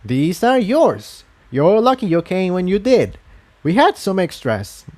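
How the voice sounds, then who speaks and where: Man acting a courteous, hurried storybook pony, pitched up. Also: big pitch swings, darkish tone. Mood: apologetic, helpful. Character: busy, courteous, absent-minded male pony townsman.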